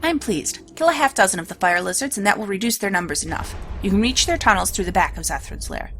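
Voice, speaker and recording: A woman's voice: mid-range voice, animated, fast talker, fairly bright tone, a little hiss or hum. A woman voicing a scheming male steward in a dragon's lair, reading his lines formally in a slightly raised voice.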